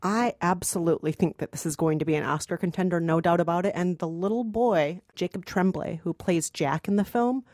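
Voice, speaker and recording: A woman's voice: on the low side, brisk, few pauses, a little mellow, no echo, studio quality. A woman co-hosting a podcast, giving an emphatic, enthusiastic film review in a conversational style. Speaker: podcast co-host and film critic.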